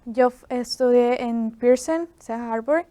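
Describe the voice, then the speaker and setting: Young Ecuadorian woman, clear high voice. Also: takes it slowly, no room sound. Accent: Ecuadorian Spanish. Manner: soft-spoken, many "am" fillers. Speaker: student guest. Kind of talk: interview.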